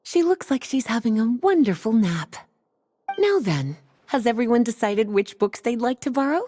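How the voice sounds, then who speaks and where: Actress as a playful, teasing librarian, lively intonation. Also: female voice, on the high side, normal speed, slight background noise, natural voice. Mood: teasing, welcoming. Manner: coy, teasing politeness. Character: playful, teasing, unhurried adult woman librarian of a fantasy town. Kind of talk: video game voice acting.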